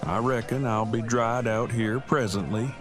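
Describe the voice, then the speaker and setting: A man's voice: medium pitch, fairly even intonation, a little slow, lightly acted. A man playing a pedantic, teasing nerd with stilted, wordy, folksy formality in an audio drama. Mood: taunting. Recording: faint music under the voice.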